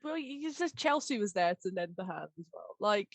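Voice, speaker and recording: A woman podcast host chats casually about production work in a dry, close-sounding space. Her voice sits at a middle pitch, and her delivery is giggly, gushing and animated. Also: fairly quick, no room sound. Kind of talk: casual behind-the-scenes chat.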